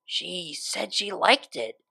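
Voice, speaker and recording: Man voicing a halting young unicorn mare, creaky-voiced. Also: high voice, sing-song, bright, forceful tone, voice pushed up, exaggerated voice, poor audio. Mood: anxious, brooding. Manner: hesitant, halting, stammering. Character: young female unicorn DJ pony, flustered, self-doubting, lovestruck.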